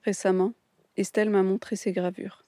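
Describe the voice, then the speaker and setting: A woman reading a scripted documentary voice-over in a measured, reflective way. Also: mid-range voice, level tone, mellow, no room sound. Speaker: documentary narrator.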